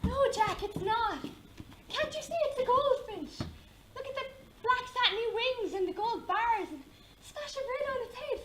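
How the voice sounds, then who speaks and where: An actress playing a young wife driven mad by grief, drifting between dazed murmurs and screams. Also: female voice, very high-pitched, animated, moderate pace, a bit bright, reverberant, a little hiss or hum. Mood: dazed, faint. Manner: distraught, wandering, breaking into screams. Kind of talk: stage drama.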